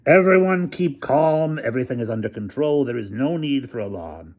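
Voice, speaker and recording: A man voicing a nervous male school official calling for calm over a megaphone. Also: medium pitch, moderate pace, muffled recording, pitched above the performer's own voice, natural voice. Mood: anxious.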